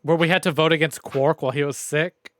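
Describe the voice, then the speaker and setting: A man's voice: fairly high pitch, fairly even intonation, brisk, fairly bright tone. A man livestreaming a game in a casual, chatty, informal way, talking with his viewers. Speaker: streamer hosting a visual novel playthrough. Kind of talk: casual livestream commentary mixed with reading game dialogue aloud.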